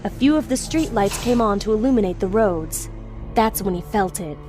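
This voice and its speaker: A woman's voice: medium pitch, normal speed, darkish tone, faint music behind. A woman narrator reading a scripted story in an audio drama.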